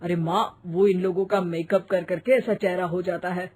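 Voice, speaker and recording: A woman's voice: lowish voice, steady tone, normal speed, darkish tone, husky, pitched below the performer's own voice. A woman voicing an earnest young husband and son in a comic cartoon story, protesting and explaining reproachfully. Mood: placating.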